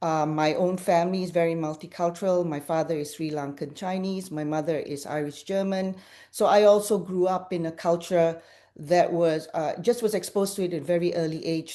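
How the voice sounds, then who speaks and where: A woman's voice: deep voice, warm tone. A woman from Malaysia speaking on a webinar panel over a video call, hesitant, with many fillers and restarts. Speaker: panelist, cultural intelligence practitioner.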